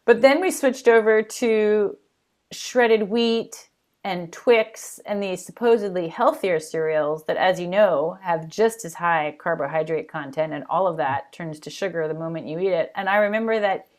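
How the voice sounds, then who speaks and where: A woman talks as a podcast guest, telling stories in a quick, animated way. Her voice sits fairly low for a woman. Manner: animated, quick, laughs while recalling. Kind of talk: casual interview chat.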